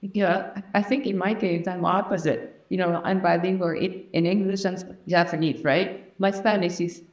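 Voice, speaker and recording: A woman's voice: on the low side, steady tone, moderate pace, darkish tone, dull audio. A woman hosting a casual podcast interview, chatty and eager, often chiming in with short replies. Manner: chatty, eager, frequent backchannels. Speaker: podcast host. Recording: roomy echoey room.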